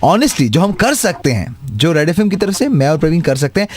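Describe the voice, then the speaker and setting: A man's voice: fairly high pitch, very animated, fairly quick. An Indian man, a radio prank co-host, chatting casually on a call.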